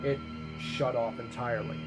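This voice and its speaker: A man playing an earnest eyewitness who tells a grave disaster theory in an insistent, suspenseful way with dramatic pauses. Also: medium pitch, fairly even intonation, close to an everyday voice. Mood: grave, recounting. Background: music behind the voice.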